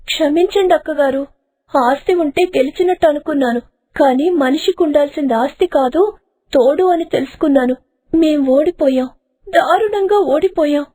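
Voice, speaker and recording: Woman acting a very high, anxious village wife. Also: normal speed, dark tone, no room sound, natural voice. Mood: regretful. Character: naive, anxious young village wife, eager then regretful. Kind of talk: acted moral-story dialogue.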